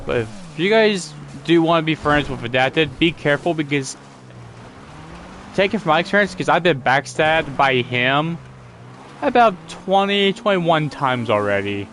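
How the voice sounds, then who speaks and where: A man's voice: on the high side, expressive, unhurried, fairly bright tone, a little hiss or hum. A man hosting a casual talk, retelling grievances in an aggrieved, repetitive way. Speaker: channel host. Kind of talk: casual complaint chat.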